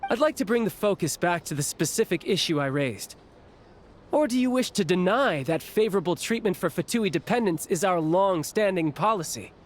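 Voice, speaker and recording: A man's voice: sits high, animated, normal speed, a little hiss or hum. A man voicing a confident young military representative in a video game, debating in a formal, pointed way. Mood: challenging. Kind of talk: video game character acting.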